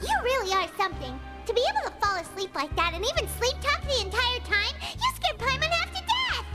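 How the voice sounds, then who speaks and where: A tiny, excitable fairy companion from a video game. The voice is extremely high and childlike, swinging up and down with earnest energy. Loud music plays behind it. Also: female voice, fairly quick. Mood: chiding, puzzled. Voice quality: squeaky, childlike. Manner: speaks of herself in third person, excitable. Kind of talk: video game character acting.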